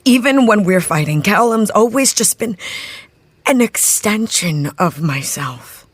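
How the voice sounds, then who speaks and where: An actress playing a wounded, grieving young woman in a dramatic scene, speaking in an anguished, breathy way. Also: medium pitch, expressive, measured pace, dry recording. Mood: hurt, bewildered. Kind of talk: dramatic scripted acting.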